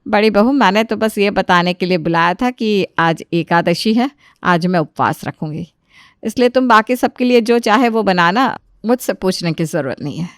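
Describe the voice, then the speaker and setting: A woman's voice: medium pitch, expressive, moderate pace, clean tone, dry recording, clean studio sound, voice pushed down, close to an everyday voice. A woman voicing a bossy, scolding, self-important mother-in-law in her sixties for an audio family story. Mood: stern. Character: bossy nagging old mother-in-law, aged sixty-five.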